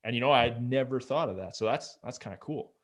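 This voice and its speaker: A man's voice: mid-range voice, brisk. A man hosting a podcast, asking questions in a casual, friendly way with fillers like "man" and "like". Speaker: podcast host. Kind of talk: conversational interview.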